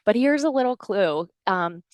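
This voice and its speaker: An American woman in middle age talks in a casual, motivational podcast style. Her pitch sits in an average range for a woman, and she is chatty and encouraging, often checking in with the listener. The sound is dry, with very little room echo. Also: animated, moderate pace, darkish tone. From New Jersey shore, United States. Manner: chatty, encouraging, conversational asides with frequent "right?". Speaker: wellness podcast host.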